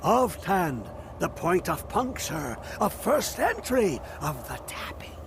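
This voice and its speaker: A rapturous old hermit scholar from a fantasy game, half mad and cryptic. The high voice swoops erratically in slow singsong rambling, over clear background noise. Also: male voice, sing-song, exaggerated voice. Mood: hushed, obsessive. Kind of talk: fantasy video game character acting.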